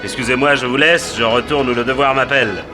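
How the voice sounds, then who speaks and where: An actor playing a bombastic fairground barker in a radio drama, declaiming grandiose sales patter to passers-by. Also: male voice, mid-range voice, steady tone, fast talker, lightly acted. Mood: obliging, polite. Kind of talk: French radio drama acting. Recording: loud music behind the voice.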